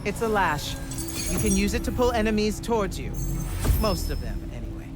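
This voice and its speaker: A woman's voice: medium pitch, very animated, close to an everyday voice. A stern female battlemage mentor played by an actress gives orders in a fantasy game. She is composed and commanding, with dry, unimpressed authority. Music plays prominently behind her. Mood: measured, commanding. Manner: measured, commanding, dryly unimpressed. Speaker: military magic instructor. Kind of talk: scripted video game dialogue.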